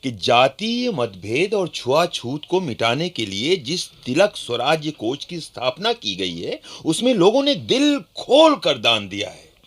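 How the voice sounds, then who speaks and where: An actor playing a proud, earnest underground activist, explaining things animatedly in radio play dialogue. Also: male voice, fairly high pitch, big pitch swings, unhurried, hardly any pauses, fairly bright tone, full character voice. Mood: enthusiastic.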